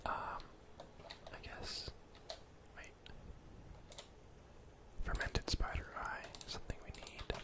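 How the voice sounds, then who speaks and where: A man's voice: takes it slowly, pauses often. Casting: young male gaming streamer, whispered ASMR, casual and rambling, close-miked. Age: young adult. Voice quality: soft, breathy whisper. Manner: casual, rambling, thinking aloud. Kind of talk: whispered ASMR gameplay commentary.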